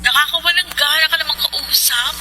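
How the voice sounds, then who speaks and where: An actor playing a flirtatious, pushy, excitable sweetheart in a radio drama, effusive and huffy. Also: male voice, extremely high pitch, a little fast, very noisy. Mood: huffy, insistent. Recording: loud street-like ambience.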